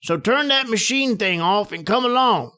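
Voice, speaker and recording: A man's voice: sits high, expressive, normal speed, pushed and bright, smooth. A man playing a bossy, suspicious small-town American sheriff in a radio horror play, blunt and folksy. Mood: stern, suspicious. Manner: blunt, folksy, barking orders. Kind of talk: old-time radio horror acting.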